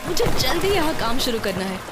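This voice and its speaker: High-pitched spirited girl. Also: very animated, brisk. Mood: spirited, urging. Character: hurried, spirited young girl. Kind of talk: animated cartoon dub. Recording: loud music behind the voice.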